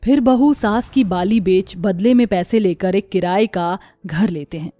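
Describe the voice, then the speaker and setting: A woman's voice: fairly high pitch, darkish tone, no echo, studio quality. A woman giving scripted narration of a moral story as its storyteller.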